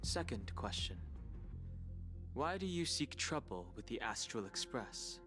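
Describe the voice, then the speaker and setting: A man's voice: mid-range voice, very animated, unhurried. Terse, serious young man, music behind. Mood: guarded, questioning. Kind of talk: video game dialogue acting. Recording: game audio with music behind.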